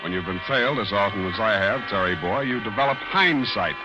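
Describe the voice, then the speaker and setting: A male actor plays a world-weary, wisecracking male private eye in a vintage radio drama. His pitch moves freely as he delivers wry, clipped banter and narration. Music plays in the old broadcast. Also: lively intonation, a little fast, dull audio, lightly acted. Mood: dry narration. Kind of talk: 1940s radio drama acting, first-person narration.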